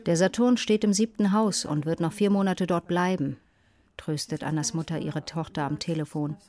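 A woman from Russia narrating a documentary calmly and matter-of-factly. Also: lowish voice, normal speed. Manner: calm, matter-of-fact storytelling. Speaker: author and narrator.

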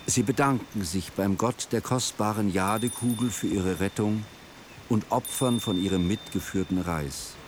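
Low-pitched male radio drama narrator. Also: normal speed, noisy background.

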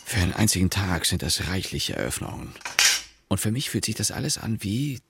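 An actor playing a brooding, wry suburban husband who narrates a radio play in a sardonic, husky voice. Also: male voice, low-pitched, very animated, brisk, heavy background noise, exaggerated voice. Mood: hurt, suspicious. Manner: sardonic, hesitant in dialogue.

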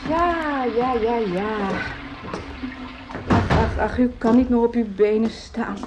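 A woman's voice: on the high side, takes it slowly, noisy background. A woman playing a fussy, solicitous landlady of students in a radio drama, nagging and repeating her words, on an old recording. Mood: scolding, exasperated.

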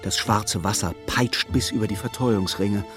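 An actor as the narrator of a literary radio play, telling the story precisely and with dramatic suspense. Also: male voice, fairly low pitch, normal speed, close to an everyday voice. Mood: dramatic, bleak. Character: male storybook narrator, vivid and urgent observer. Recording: studio radio play with music.

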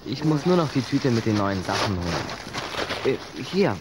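An earnest schoolboy in a children's radio play. He is patient and persuasive, with a mid-range voice set against clear background noise. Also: mid-range voice, sing-song, a little slow. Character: earnest, reassuring schoolboy, friend of a vampire. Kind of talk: German children's audio drama acting.

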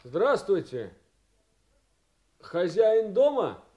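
A courteous police detective in a naturalistic radio play. His voice is mellow and moves expressively up and down, while his manner stays measured and tactful. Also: sits high, sing-song, husky, full character voice.

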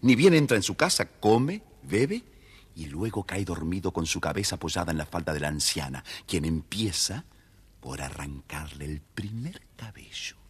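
A man with a husky voice, narrating a scripted children's story. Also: low-pitched, animated, moderate pace.

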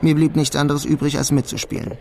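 A man's voice: fairly high pitch, little pitch movement, fast talker, vocal fry, a little mellow, slight background noise. An actor playing a sly, resigned young immigrant clerk in a radio drama, wry and understated as he narrates and talks. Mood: wry, reflective. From Germany. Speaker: narrator and lead character. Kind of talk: radio drama first-person narration and dialogue.

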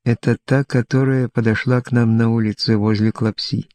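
A man's voice: mid-range voice, flat delivery, normal speed, darkish tone, no room sound. A man reading literary fiction aloud as scripted narration with acted dialogue. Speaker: audiobook narrator.

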